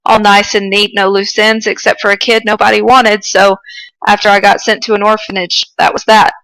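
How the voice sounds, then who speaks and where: A woman's voice: medium pitch, little pitch movement, moderate pace, fairly bright tone, no echo, close to an everyday voice, rough recording. A woman reading fan fiction as a bitter, sarcastic adult male fox with a mirthless manner. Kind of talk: fan fiction read aloud.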